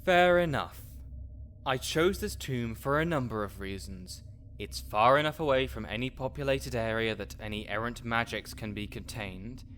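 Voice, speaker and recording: A man's voice: mid-range voice, normal speed, a bit bright, a little hiss or hum, close to an everyday voice. A man playing a grouchy, fussy necromancer researcher in an audio roleplay, sarcastic and wordy as he speaks to the listener. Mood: dry, explanatory.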